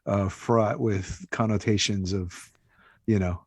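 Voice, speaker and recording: A man with an American accent and a fairly low voice, recorded close and dry. He talks as an interview guest in a thoughtful, conversational way, feeling out his ideas with small asides. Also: fairly even intonation, takes it slowly, a little mellow, husky, no echo. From Hawaii, United States. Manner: conversational, thoughtful, many hedging asides like "you know" and "right". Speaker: interview guest, author and activist. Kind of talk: casual reflective interview talk.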